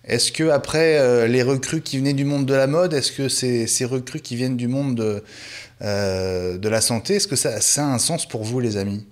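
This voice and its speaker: Man, panel show host, hesitant, lots of fillers, even middle pitch. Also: moderate pace. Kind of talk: hosting a tech panel show.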